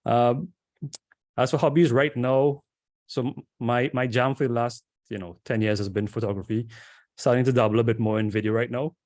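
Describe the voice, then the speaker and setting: A man's voice: mid-range voice, fairly even intonation, normal speed, darkish tone, dry recording. A man casually facilitating a group call, talking in an easygoing, informal way. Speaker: session facilitator.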